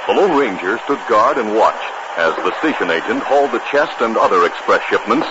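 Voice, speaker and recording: American guy, old time radio announcer, polished n dramatic, muffled recording w loud noise. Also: lowish voice, animated, fairly quick. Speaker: announcer and narrator. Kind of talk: 1950s radio scripted narration and advertising.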